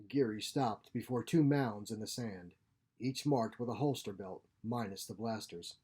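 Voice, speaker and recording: A man's voice: on the low side, a little slow, warm tone, slightly rough. A man giving a scripted narration of a science fiction short story. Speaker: author reading own short story.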